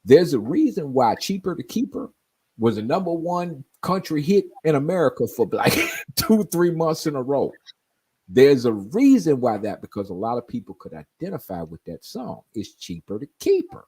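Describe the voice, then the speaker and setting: Man in his forties, husky voice, swinging up and down in pitch, easy storytelling talk. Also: big pitch swings, normal speed, mellow. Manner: storytelling, often asks "you know what I mean?". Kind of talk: casual chat on a live stream.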